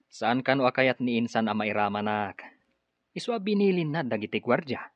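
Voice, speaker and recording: A man's voice: mid-range voice, moderate pace, no echo, close to an everyday voice. An actor playing a greedy, scheming man with an emphatic, menacing manner in an Ilocano radio drama. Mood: frustrated. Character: scheming businessman relative, forceful and greedy. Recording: dull lo-fi radio drama sound.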